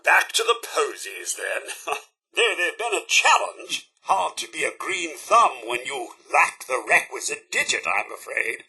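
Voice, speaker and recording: Male robot butler, British accent, raspy edge, very animated intonation, polite, fretful, devoted, theatrical game dialogue. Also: on the high side, heavily acted, poor audio. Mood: chatty, wry. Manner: formal, polite, theatrical and deferential. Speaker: robot servant companion. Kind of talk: video game character dialogue.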